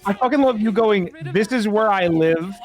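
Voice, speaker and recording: A man in casual group commentary, retelling moments in an animated, profane way. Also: high-pitched, normal speed. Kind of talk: casual chat, commentary on a fan dub. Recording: music playing behind the voice.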